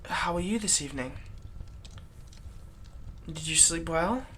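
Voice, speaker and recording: A man's voice: on the high side, animated, a little slow, sharp tone. Man acting as nervous, stammering vampire's servant, noisy background. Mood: eager, servile. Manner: stammering, deferential, obsequious. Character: servile, nervous male vampire's thrall, bitterly eager to please. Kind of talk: roleplay audio drama monologue.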